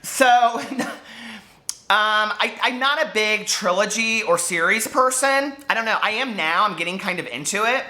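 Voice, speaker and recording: A man chatting casually to camera as a book vlogger, gushing and animated, wandering off on tangents with lots of filler words. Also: sits high, unhurried. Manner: gushing, digressive, peppered with fillers.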